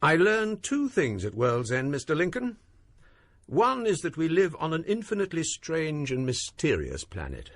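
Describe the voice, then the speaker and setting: An older wry provost; measured, dry, animated pitch. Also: male voice, no room sound, full character voice. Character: older male provost telling a ghost story. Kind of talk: radio drama acting.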